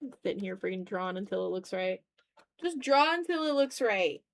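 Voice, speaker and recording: A young adult woman chatting casually on an art livestream, animated and slangy, with a self-deprecating way of talking. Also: mid-range voice, a little fast. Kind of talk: casual livestream chat while drawing.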